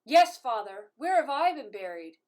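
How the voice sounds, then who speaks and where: A woman performs a dead soldier daughter accusing her father. Her voice is fairly high. The style is a dramatic stage reading. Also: sing-song, moderate pace, darkish tone. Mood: mournful, accusing. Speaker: amateur actor.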